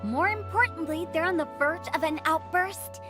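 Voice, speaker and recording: A woman's voice: very high voice, normal speed. A woman voicing a playful, mischievous young female funeral director in a game. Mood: probing. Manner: mischievous, teasing, theatrical. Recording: game audio with faint music.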